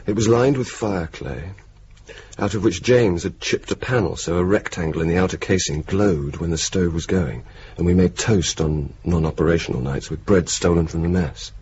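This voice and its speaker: An actor playing a wry, laconic, bookish young RAF officer in a radio play, speaking in a dry, understated, deliberate way. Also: male voice, low-pitched, darkish tone, lightly acted. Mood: wry narration. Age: young adult. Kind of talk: naturalistic 1980s radio drama acting. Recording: muffled, hissy old radio broadcast tape.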